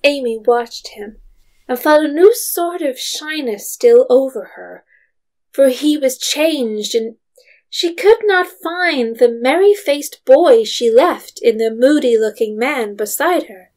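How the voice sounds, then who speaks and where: An actress as a composed storyteller, reading scripted literary narration for an audio drama. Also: female voice, sits high, expressive, slow pace, darkish tone, full character voice.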